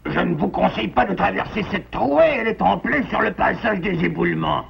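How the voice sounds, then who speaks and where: A man voicing a gruff, cautioning animal character in a French cartoon, with a creaky, gravelly voice. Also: high voice, brisk, slight background noise. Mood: warning. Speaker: voice actor. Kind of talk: French cartoon dub acting. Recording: dull lo-fi cartoon soundtrack, faint music.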